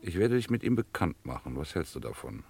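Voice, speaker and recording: Very deep-voiced, pompous gentleman crook. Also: big pitch swings, gravelly, breathy edge, heavily acted. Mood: mock-pitying. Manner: grandiloquent, patronising, deliberate phrasing. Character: pompous, scheming gentleman crook, condescending to his dim henchman. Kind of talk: vintage German radio crime play acting.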